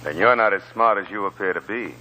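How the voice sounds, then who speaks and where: An actor playing a cool, bold stranger who talks directly and shrewdly in a 1940s radio drama. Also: male voice, lowish voice, quick pace, sharp tone, poor audio. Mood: polite, probing. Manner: direct, calculating. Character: cool, bold male stranger bargaining with prospectors. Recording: old 1940s radio broadcast.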